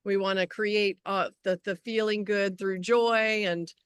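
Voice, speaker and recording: A woman chatting casually as a podcast co-host, halting and looping back through her phrases as she talks. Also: mid-range voice, fairly even intonation, clean tone, no room sound, clean studio sound. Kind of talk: casual conversational chat. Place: outdoors.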